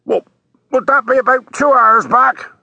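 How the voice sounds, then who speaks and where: A merchant sailor in a story reading. The voice sits high and has a rough, husky edge. He speaks insistently, in salty, ungrammatical phrases. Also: unhurried, pushed and bright, no echo, highs cut off, full character voice. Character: stubborn male merchant sailor on watch. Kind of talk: audiobook character voice.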